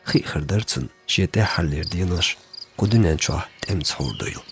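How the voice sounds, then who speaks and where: A man's voice: deep voice, lively intonation, unhurried, background noise. A man, a native Chechen speaker, narrating a film from a script.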